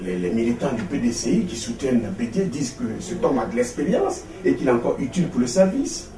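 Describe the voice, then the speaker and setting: A man's voice: mid-range voice, huge pitch swings, fairly quick, warm tone. A man working as an interviewer, putting political questions to a guest. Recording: echoey room, distant mic, faint noise.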